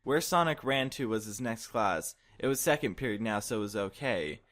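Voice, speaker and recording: A man's voice: fairly low pitch, expressive, normal speed, fairly bright tone. A man reading a fan fiction story aloud in a mock-dramatic scripted narration style.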